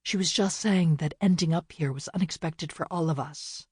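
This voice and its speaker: A woman doing a careful, quiet sister. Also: low voice, moderate pace, breathy edge, no echo, studio quality. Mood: gentle, careful. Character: careful, empathetic middle-aged sister.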